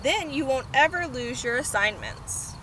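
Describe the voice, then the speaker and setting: A woman's voice: sits high, lively intonation, measured pace, bright, forceful tone, full character voice. A woman voicing a bossy, organized young boy in a children's storybook, fussy and emphatic. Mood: instructive. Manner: instructing, emphatic, screeching when upset. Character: bossy, organized boy, fussy cousin who panics easily. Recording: noisy outdoor camera recording.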